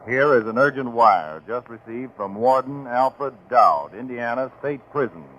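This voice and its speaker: A man's voice: mid-range voice, expressive, unhurried. A man reading formal scripted narration as presenter of a 1940s radio crime show. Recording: faintly noisy 1940s radio recording.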